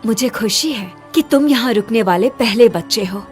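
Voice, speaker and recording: A sinister ghostly motel owner, voiced by a woman in a horror audio story. Her voice sits high and stays menacing even when she coaxes. Faint music plays under her. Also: normal speed, natural voice. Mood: sinister sweet.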